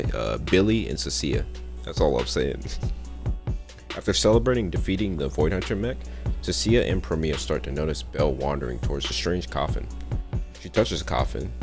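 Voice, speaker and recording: A young man casually recapping a game's story, with slangy, joking asides. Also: a bass voice. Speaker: gaming content creator. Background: faint game music.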